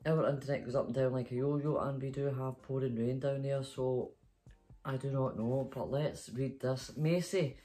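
A woman's voice: a bass voice, fairly even intonation, normal speed, dark tone, soft background music. A middle-aged or older Scottish woman, a grandmother, chatting playfully and reading a story to children in a bedroom. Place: small bedroom, indoors.

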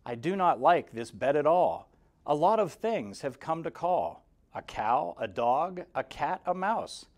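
A man in his forties reading a rhyming children's picture book aloud, expressively, in a measured sing-song with clear emphasis. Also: fairly high pitch, big pitch swings, darkish tone. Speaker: guest story reader for kindergarteners. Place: indoor room, seated in front of a banner. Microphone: lapel mic.

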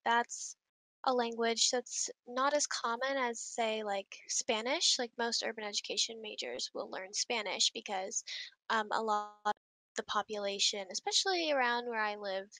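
A woman's voice: fairly high pitch, moderate pace, no echo, low-quality recording. A young woman, a college student, answering interview questions casually with some fillers. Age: young adult. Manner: relaxed, with fillers like um and like.

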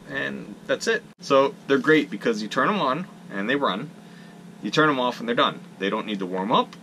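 A man's voice: medium pitch, vocal fry, fairly bright tone, slight background noise, rough recording. A young man on his boat, casually and practically walking through how his gear works, filmed with a camera at arm's length in a small wooden cabin. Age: young adult. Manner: plain, practical, self-deprecating. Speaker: sailing vlogger.

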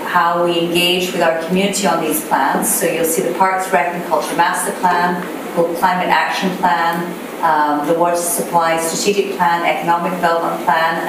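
A woman with a soft voice presenting at a public meeting, explaining plans in a measured way with frequent fillers. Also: lowish voice. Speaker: city planner presenting. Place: council meeting room. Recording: echoey room, distant mic, background noise.

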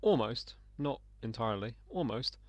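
A young man, slightly croaky, giving sarcastic, sweary commentary while playing a game. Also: medium pitch, expressive, moderate pace, darkish tone, no echo. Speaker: let's play gamer. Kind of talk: casual gameplay commentary.

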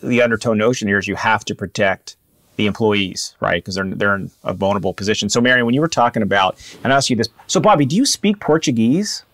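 A man in his thirties with a fairly low voice and a dry, close sound hosts a podcast interview. He asks probing questions in a relaxed, conversational way. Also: fast talker, no echo. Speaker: podcast host. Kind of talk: interview hosting.

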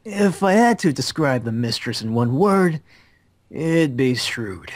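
A man's voice: medium pitch, sing-song, slow, no room sound. A man voicing an impatient, bossy mage comrade in an amateur anime visual novel dub, curt and dismissive. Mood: musing.

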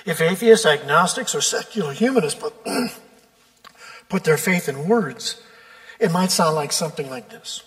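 A man's voice: on the high side, expressive, a bit bright. Man preaching in a reverberant church. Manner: measured preaching, drawn-out emphasis on key words. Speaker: pastor. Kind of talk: sermon. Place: church sanctuary.

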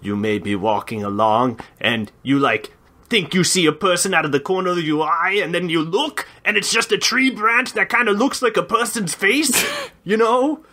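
A man's voice: sits high, normal speed, pushed and bright. A man voicing a whimsical, lovelorn goblin bard who rambles colloquially in a sing-song way. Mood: spooked, earnest.

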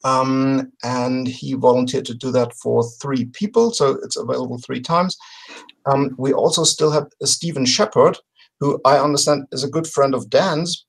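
A middle-aged man talking casually as an interview guest on a video call, often pausing to search for words. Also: mid-range voice, vocal fry, rough recording. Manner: halting, word-searching delivery. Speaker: podcast guest presenting a crowdfunding campaign. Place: home room with bookshelves, on a video call.

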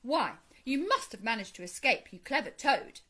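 A woman's voice: on the high side, big pitch swings, normal speed, pushed and bright, full character voice. A woman voicing a cheeky, eager male mole in a storybook, exclamatory and pleased with himself. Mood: delighted.

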